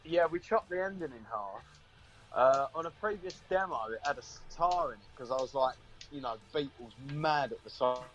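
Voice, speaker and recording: A man's voice: on the high side, normal speed, fairly bright tone, dull audio. A man chatting casually as a band member being interviewed.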